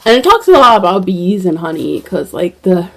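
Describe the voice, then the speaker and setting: A woman's voice: fairly low pitch, very animated, normal speed, gravelly. A young woman chatting casually about books as a vlogger, rambling with lots of fillers and restarts, a little congested from a cold. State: slightly congested with a cold, occasional cough. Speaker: book vlogger. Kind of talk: casual chat.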